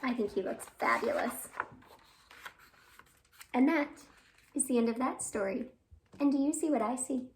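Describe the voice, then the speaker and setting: A woman's voice: sits high, expressive, moderate pace, long pauses, a little hiss or hum, poor audio. A woman hosting storytime for young children, reading aloud expressively with exclamations and questions to her listeners. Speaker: children's storytime host at a library. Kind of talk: animated read-aloud for young children with sung greeting.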